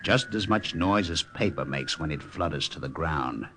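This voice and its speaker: A man's voice: rumbling low, animated, moderate pace, pushed and bright, slight background noise, exaggerated voice. A man playing a composed, patient Japanese American airman and narrator in a 1950s radio drama. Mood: reflective narration. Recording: old 1950s radio broadcast with music.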